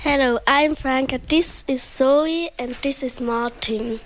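A young woman interviewer reading her questions in a careful, deliberate way. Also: high voice, slight background noise. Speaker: student interviewer.

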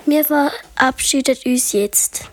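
A young woman interviewer reading her questions in a careful, deliberate way. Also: high voice, a little hiss or hum. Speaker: student interviewer.

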